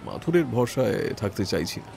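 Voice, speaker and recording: Radio mystery lead: composed male detective, lowish voice, measured, courteous, authoritative, music underneath. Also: very animated, creaky, darkish tone. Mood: measured reasoning. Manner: deliberate, polite, authoritative questioning and reasoning. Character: composed, probing male private detective on a case. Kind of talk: Bengali audio detective drama acting.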